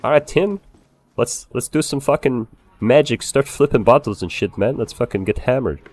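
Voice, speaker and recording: A man improvising in-character game roleplay, swearing and ranting in an excitable way. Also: medium pitch, normal speed, faint music behind. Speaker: roleplayer playing a bar owner.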